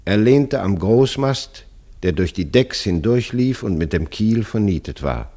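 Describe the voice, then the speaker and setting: A man's voice: on the low side, animated, measured pace, mellow. A man narrating a literary radio play as a scripted story.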